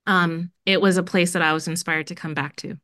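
A woman's voice: fairly low pitch, fairly even intonation, brisk, fairly bright tone, dry recording, studio quality. A middle-aged woman from Jamaica, a school dean, speaking earnestly in a podcast interview with many fillers.

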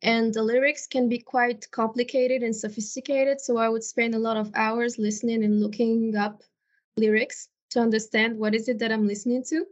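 A woman's voice: on the high side, flat delivery, moderate pace. A woman from Morocco, a non-native English speaker, answering conversationally and articulately as an interview guest. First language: not English. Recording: dull, lo-fi audio.

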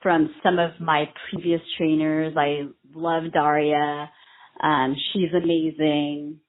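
A woman's voice: deep voice, level tone, slow pace. A woman in her thirties from Vietnam, a brow artist and trainer, answering interview questions in an earnest way with many ums and restarts. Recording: tinny phone-like line.

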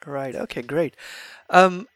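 Halting high-voiced male podcast host. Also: a bit bright, no echo. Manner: halting, restarts questions often. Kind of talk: casual interview.

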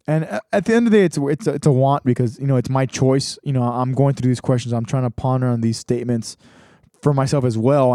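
Rambling young man, mellow dry voice. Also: medium pitch, smooth, dry recording. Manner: rambling, many restarts and fillers. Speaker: podcast host. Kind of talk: casual reflective monologue.

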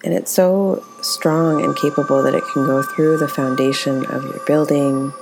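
A woman leading a guided meditation as its teacher, speaking in a gentle, soothing, unhurried way. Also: sits low, fairly even intonation, creaky, noisy background.

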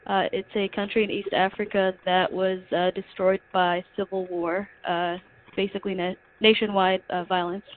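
A woman from Somalia, a city official, introducing herself earnestly on a call with soft filler sounds. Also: mid-range voice, flat delivery, moderate pace. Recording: phone line with faint noise.

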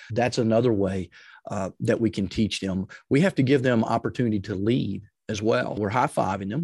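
A middle-aged man with a deep, loud voice, giving leadership advice as a podcast guest in an energetic, emphatic way that repeats phrases for stress. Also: slightly rough, dry recording, clean studio sound. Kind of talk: explanatory interview talk with advice and personal stories.

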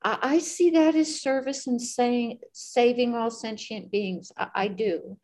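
A woman shares a personal story in a group discussion. Her voice sits a little high with a mellow, warm tone. She speaks earnestly and leans on key words by slowing down. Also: steady tone. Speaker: member of a meditation group.

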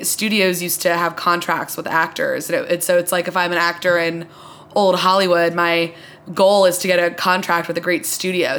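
Woman, lower register, podcast host, casual and explanatory conversational read. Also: fairly bright tone. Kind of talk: casual chat.

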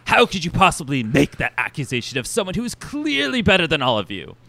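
A man's voice: wildly swooping pitch, fast, creaky, bright, forceful tone, full character voice. A man voicing a vain, melodramatic designer boss, pompous and histrionic, in a comedic script reading. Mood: boastful, pompous. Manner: pompous, histrionic, shrill when outraged.

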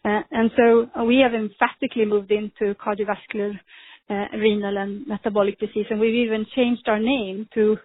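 A woman, speaking as a guest in a podcast interview, measured with frequent ums and restarts. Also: mid-range voice, level tone, a little hiss or hum. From Sweden. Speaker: pharma executive guest. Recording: tinny phone-like line.

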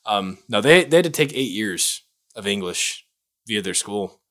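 American guy from chicago area, kinda low voice, casually explaining stuff, keeps restarting. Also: animated, measured pace, a bit bright. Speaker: podcast co-host.

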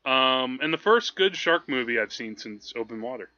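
A man co-hosting a podcast, chatting casually about films in an animated, digressive way. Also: medium pitch, moderate pace, no echo, muffled recording. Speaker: podcast co-host. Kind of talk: casual film chat.

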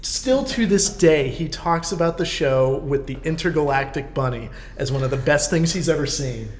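A man, probably middle-aged, chatting casually and telling anecdotes in an animated, conversational way. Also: on the high side, moderate pace, a little hiss or hum. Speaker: podcast guest host, community theater actor.

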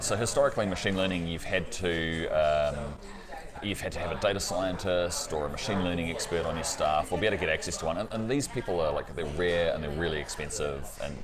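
A man at a conference explaining technology to an interviewer, often ending with "right?". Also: sits low. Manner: explanatory, tags sentences with "right?". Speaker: cloud company partner guest. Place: busy conference floor. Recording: crowd noise behind. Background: crowd chatter.

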